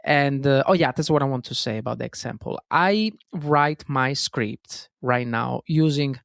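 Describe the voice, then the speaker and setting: Italian-accented male podcast host, gravelly, earnest and self-correcting, dry close sound. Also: medium pitch, unhurried, a bit bright, no echo. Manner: earnest, self-correcting, frequent fillers and "guys". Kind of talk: solo self-help podcast monologue.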